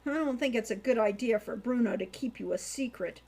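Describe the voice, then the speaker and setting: A woman's voice: on the high side, a little fast, darkish tone. A woman voicing an awkward, good-natured young male thief in an audiobook, slangy and folksy with a stammer. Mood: easygoing, slangy.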